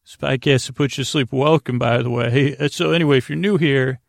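A man hosting a bedtime sleep podcast, with a creaky voice and a gentle, silly, meandering manner full of hesitations, tangents and pauses. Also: mid-range voice, fairly even intonation, dry recording, clean studio sound. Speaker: podcast host.

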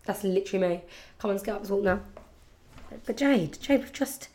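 A young woman with a husky, congested voice from hay fever, chatting casually and rambling to her podcast listeners. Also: mid-range voice, fairly quick, a little mellow. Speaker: podcast host. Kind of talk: casual chat.

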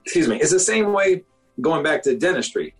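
A man in his forties, a doctor, talking casually in an interview, often checking in with "okay?" and "you know?" and clearing his throat now and then. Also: fairly high pitch, animated, brisk, faint music behind. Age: 40s. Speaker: doctor, guest.

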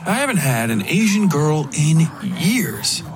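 A man's voice: fairly high pitch, very animated, a little slow, noisy background, pitched above the performer's own voice. Man playing a sneering, menacing, abusive husband. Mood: creepy murmur. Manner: condescending, sneering, profane. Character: menacing, contemptuous, abusive husband with political ambitions. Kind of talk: dramatic audiobook character dialogue.

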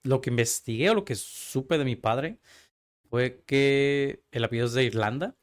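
A young man from Tijuana, talking casually as a guest in an interview, chatty and quick to laugh. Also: medium pitch. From Tijuana, Mexico. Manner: relaxed, chatty, laughs easily, filler-heavy.

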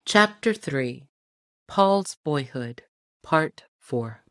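A low-voiced woman narrates a storybook tall tale. She reads from a script in a measured way, phrasing each sentence clearly like an audiobook storyteller. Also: lively intonation, a bit bright, soft background music.

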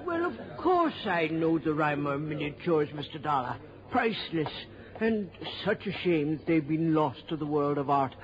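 An actor playing a cultured, earnest art curator in an old radio drama, speaking in a deliberate, precise way. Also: male voice, a little high, big pitch swings. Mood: earnest, indignant. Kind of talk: mid-century radio acting. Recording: dull old radio recording.

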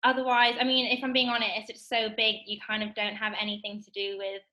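Bright, chatty young woman. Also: medium pitch, flat delivery. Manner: bright, quick, lots of fillers like "kind of" and "like". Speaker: guest, assistant buyer. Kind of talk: casual interview.

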